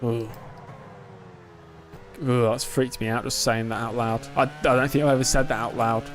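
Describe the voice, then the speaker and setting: A man's voice: mid-range voice, level tone, moderate pace, music in the background. A man in his twenties chatting casually on a live stream while racing, answering chat and talking himself through the pressure. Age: 20s. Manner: chatty, reads and answers chat, self-talk under pressure.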